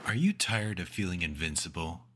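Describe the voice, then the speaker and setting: An actor playing a zealous, emphatic announcer selling hard in a parody radio commercial. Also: male voice, low voice, lively intonation, fairly quick, fairly bright tone. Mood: enthusiastic selling. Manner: emphatic, salesy.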